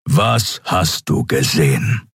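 A man's voice: big pitch swings, slow, vocal fry, husky, no room sound, studio quality, exaggerated voice. A man voicing a hulking, distrustful male creature in a fantasy audiobook, growling, blunt and impatient. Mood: cold, suspicious. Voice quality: growling bass character voice. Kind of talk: audiobook character acting.

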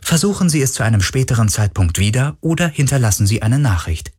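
A man's voice: medium pitch, lively intonation, fast talker, a little mellow, husky, voice pushed up. A man voicing a formal ship computer. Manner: polite, formal automated message.

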